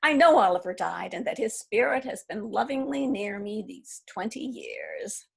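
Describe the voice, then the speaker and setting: A woman's voice: mid-range voice, lively intonation, moderate pace, vocal fry, breathy edge, heavily acted. A woman reading an aging woman who still pines for a lost love, in an earnest, wistful manner. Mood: fervent. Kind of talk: readers theatre reading.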